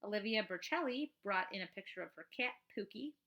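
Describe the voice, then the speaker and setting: A woman reading a children's book aloud like a teacher, expressively, with chatty asides in an animated, emphatic manner. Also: mid-range voice, fairly quick, highs cut off.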